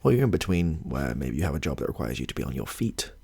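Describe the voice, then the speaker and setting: A man playing a chatty, affable medical intake clinician in a soft-spoken ASMR roleplay, hesitant and reassuring, with a husky, gravelly voice. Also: extremely low pitch, sing-song, fast, full character voice. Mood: attentive, mildly flustered. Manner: hesitant, rambling, reassuring, murmurs to himself.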